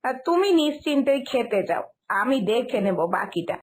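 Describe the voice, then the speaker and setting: A fussy older mother-in-law in a cartoon family tale, played by a woman. Her voice is high, and she explains herself in a firm, stubborn way. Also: sing-song, moderate pace. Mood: explaining. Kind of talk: cartoon story dialogue.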